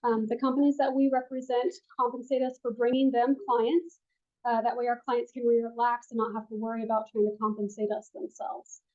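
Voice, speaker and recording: A woman advisor teaches a room full of people, quiet and gentle, with a lot of ums. Her voice sits fairly high but comes across mellow. The recording is muffled and lo-fi, picked up by a room mic. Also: little pitch movement, a little fast. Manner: soft-spoken, with frequent ums. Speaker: financial advisor teaching a class. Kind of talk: classroom presentation.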